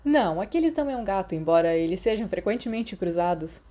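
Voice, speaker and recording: A woman voicing an enthusiastic male professor in his thirties, addressing a class in a booming, encouraging way in an audiobook. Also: lively intonation, fast, mellow, close to an everyday voice. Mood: enthusiastic teaching. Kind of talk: audiobook character dialogue.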